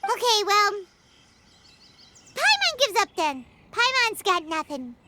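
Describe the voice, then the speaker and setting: A woman voicing a small, excitable fairy companion in a video game, with a squeaky childlike voice and expressive delivery. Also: slow, heavy background noise, heavily acted. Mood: suspicious, defeated. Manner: speaks of self in third person, expressive. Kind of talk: video game character dialogue. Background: music.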